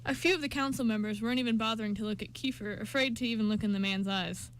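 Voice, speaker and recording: A woman's voice: on the high side, fast, a little hiss or hum. A woman reading scripted narration for an amateur radio play, occasionally stumbling over words.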